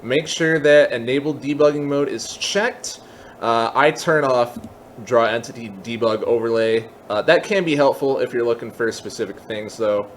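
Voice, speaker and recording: A man hosting a casual software tutorial, explaining things step by step in an informal way. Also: mid-range voice, normal speed, a little hiss or hum. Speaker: tutorial host.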